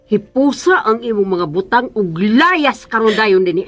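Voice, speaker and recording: A woman's voice: mid-range voice, lively intonation, measured pace, natural voice. An actress with a Filipino accent playing a harsh, haughty woman who bosses servants about in a radio drama. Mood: furious, commanding. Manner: scolding, commanding. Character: harsh, haughty woman bossing and banishing the housemaid. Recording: radio drama with music underneath.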